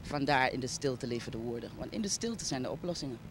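A woman's voice: very deep voice, animated, fast. A woman with a Surinamese accent giving reflective, explanatory interview answers outdoors, mixing in English words. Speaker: interviewee, arts foundation founder. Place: outdoors by a street. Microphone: handheld interview microphone. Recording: outdoor ambient noise.

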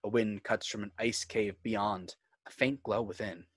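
A man's voice: lowish voice, fairly even intonation, a little slow, breathy edge, no echo, studio quality. A man working as narrator and host of a fan script reading. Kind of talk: reading screenplay directions, host outro. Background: music.

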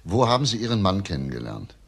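Deep, gravelly, probing police detective. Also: male voice, big pitch swings, quick pace, exaggerated voice. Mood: grave, measured. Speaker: actor in a crime drama. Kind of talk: German TV crime drama dialogue.

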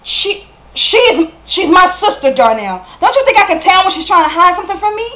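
A woman playing a hurt, fed-up young working mother in a stage monologue, with a halting, pleading delivery. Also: a little high, lively intonation, a bit bright. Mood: angry, accusing. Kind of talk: dramatic stage monologue, naturalistic. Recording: tinny band-limited audio, roomy echo.

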